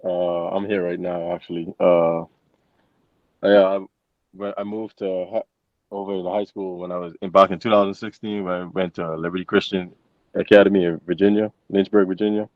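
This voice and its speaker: A man's voice: low-pitched, level tone, moderate pace, no echo. A man, giving casual, somewhat hesitant interview answers. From Bahamas. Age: 20s. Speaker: interview guest, college football player. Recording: dull lo-fi close microphone.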